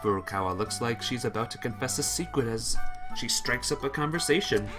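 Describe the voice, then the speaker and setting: A woman's voice: a bass voice, lively intonation, fairly quick, music in the background. A woman reading visual novel narration aloud as she plays through the game. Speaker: visual novel player and reader.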